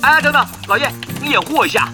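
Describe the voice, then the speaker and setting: A cocky young male gamer swordsman for an audio drama, very high in pitch. He rattles on quickly, repeating himself and exclaiming, a born chatterbox. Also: animated, music in the background. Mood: exasperated.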